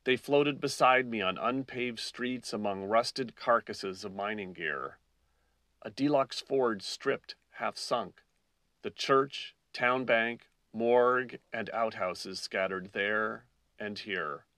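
A man's voice: on the low side, takes it slowly, pushed and bright, no room sound, far from the mic. A man hosting a poetry podcast, reading verse aloud, recorded a little away from the mic. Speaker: podcast host and poet.

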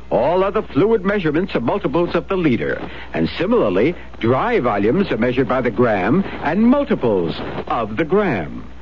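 Animated American male radio announcer. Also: medium pitch. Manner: clear, instructive. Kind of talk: scripted public service announcement. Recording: dull, hissy 1970s radio recording.

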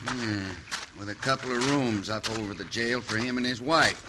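A man's voice: mid-range voice, moderate pace, close to an everyday voice. Man playing a sardonic drawling Western outlaw boss. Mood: sly, mock-aggrieved. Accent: American Western. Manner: drawling mock-folksy cowboy talk, mockingly innocent. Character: cruel, sardonic Old West bandit boss. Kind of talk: old-time radio western acting. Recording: vintage radio recording, faint hiss.

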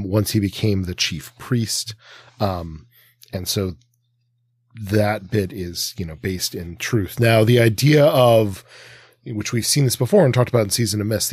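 A man co-hosting a literary podcast, analysing in a halting, deliberate way with long winding sentences. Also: on the low side, breathy edge, no echo. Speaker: podcast co-host.

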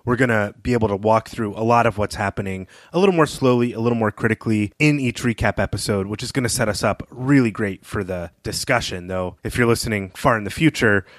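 A man talks as a co-host on a literary podcast. His voice is on the low side, and his delivery is enthusiastic and conversational. The recording is dry and free of room sound. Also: fast, few pauses, dry recording.

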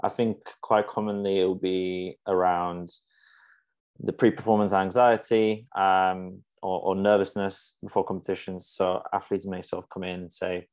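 A man's voice: low-pitched, flat delivery, normal speed, a little mellow. A young man from Poland, a Polish native speaker, talking as a sports psychologist guest on a podcast, thoughtful and hesitant with many fillers and restarts. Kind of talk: casual podcast interview.

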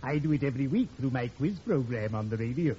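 An actor playing a genial, morbid undertaker in old-time radio comedy, with formal, solemn, deadpan delivery. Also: male voice, medium pitch, fast talker, natural voice. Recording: very noisy, muffled old radio recording.

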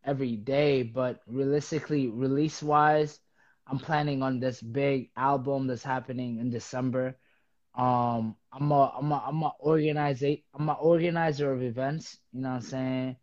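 A man from Uganda who organizes events, chatting casually with relaxed slang and halting restarts, heard over a remote call. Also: medium pitch, no echo, muffled recording. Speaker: guest, music artist and event organizer. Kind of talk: casual chat.

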